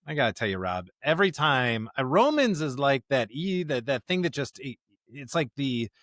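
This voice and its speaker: A man's voice: mid-range voice, big pitch swings, moderate pace, fairly bright tone, dry recording. Man co-hosting a conversational podcast, dry sound. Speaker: podcast host and pastor. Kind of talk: conversational podcast discussion with scripture reading.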